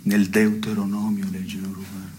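A man's voice: fairly low pitch, little pitch movement, moderate pace, warm tone, a little hiss or hum, close to an everyday voice. An actor playing a pedantic, dejected man who needles his partner in absurdist stage dialogue. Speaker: stage actor performing a two-person play.

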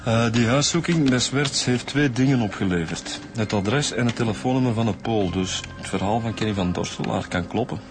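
A male actor plays a loyal Flemish detective in a radio police serial. His voice is lowish and plain-spoken, with music playing underneath. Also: fairly quick, few pauses, lightly acted. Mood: matter-of-fact. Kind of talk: radio crime drama acting.

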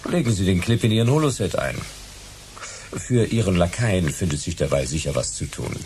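A man's voice: low voice, lively intonation, brisk, a little mellow, a little hiss or hum. A man playing a brash, wisecracking private detective in a radio play, with a flippant, terse manner. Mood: cool, flippant.

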